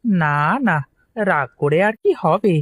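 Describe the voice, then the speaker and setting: A man playing an earnest, trusting village potter and husband in an animated moral story, with a plaintive way of talking. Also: a little high, slow pace, husky, dry recording, studio quality.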